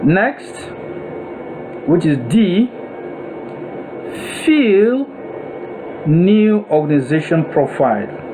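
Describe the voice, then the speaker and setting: Man, Nigerian accent, slightly high pitch, slow deliberate tutorial delivery, instructional, clearly noisy background hum. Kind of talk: step-by-step software tutorial voice-over. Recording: noisy room with steady air-conditioning-like hum.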